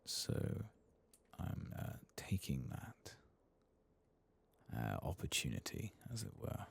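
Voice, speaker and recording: A man softly commenting on his gameplay in half-whispered, mumbling asides, his voice lower than usual after singing, close to the microphone. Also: very animated, takes it slowly. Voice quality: very deep, gravelly and husky. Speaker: ASMR gaming channel host. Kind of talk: soft-spoken gameplay commentary.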